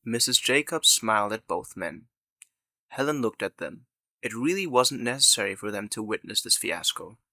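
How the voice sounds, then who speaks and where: A man narrates a scripted audio drama. His voice is fairly low yet bright and forceful, recorded very dry. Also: lively intonation, normal speed, no echo.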